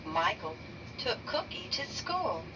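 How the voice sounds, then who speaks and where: A woman reading a children's picture book aloud slowly and deliberately, raising her pitch for the characters' lines. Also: very animated. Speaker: read-aloud narrator. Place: bedroom, indoors. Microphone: phone camera held over the book. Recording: dull lo-fi sound from a distance with noise.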